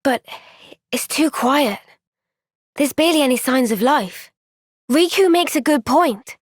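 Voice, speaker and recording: A woman with a British accent voicing an earnest, gentle, thoughtful young woman soldier in a video game cutscene. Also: high-pitched, expressive, a little slow, dry recording, studio quality. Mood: concerned.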